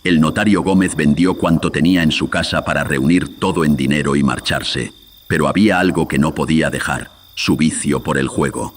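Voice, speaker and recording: Very deep male horror narrator. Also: normal speed, a little hiss or hum. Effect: processed voice filter. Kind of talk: dramatic horror radio drama narration.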